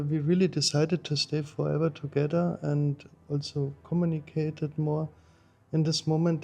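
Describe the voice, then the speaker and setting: A man from Germany speaking English as a second language, halting and plain in a casual chat. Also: on the high side, vocal fry, darkish tone. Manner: halting, non-native phrasing with fillers. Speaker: interviewee, husband in a couple. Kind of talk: casual conversation.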